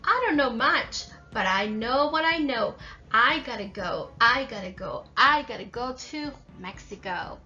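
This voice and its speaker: A woman's voice: fairly high pitch, expressive, unhurried, bright, forceful tone, dull audio, soft background music. A woman voicing a tiny, determined young female caterpillar in a storybook, in an eager, repetitive sing-song.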